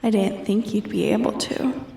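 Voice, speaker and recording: A woman voicing a bored, menacing female dragon who taunts a human thief in a slow, imperious, deliberate manner for a roleplay ASMR. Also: mid-range voice, fairly even intonation, gravelly, mellow, reverberant, distant mic. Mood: menacing, taunting. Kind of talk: roleplay ASMR, scripted character acting.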